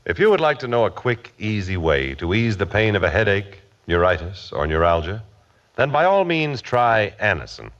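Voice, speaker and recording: A man's voice: on the low side, big pitch swings, normal speed, a bit bright. A man announcing an old radio commercial in a formal, emphatic sales delivery. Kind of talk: 1940s radio advertisement read. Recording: muffled old radio recording.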